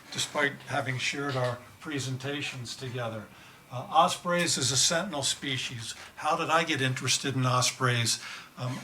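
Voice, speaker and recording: Older man with a very bright voice. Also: mid-range voice, slight background noise. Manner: dry, self-deprecating humor. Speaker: government research scientist. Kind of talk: conference talk.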